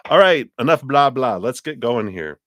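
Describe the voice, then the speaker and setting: A man's voice: mid-range voice, expressive, moderate pace, a bit bright, no room sound. A man hosting a live tarot reading stream, chatting in a rambling, casual way and laughing often, with a tickle in his throat. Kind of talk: casual livestream chat and card reading.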